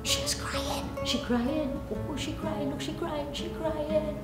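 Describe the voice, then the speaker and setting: A woman voicing excited, awestruck onlookers at a storybook festival, repeating short exclamations. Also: high voice, slow, pitched above the performer's own voice. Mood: hushed awe. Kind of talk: dramatic storybook reading. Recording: roomy echo, faint music behind.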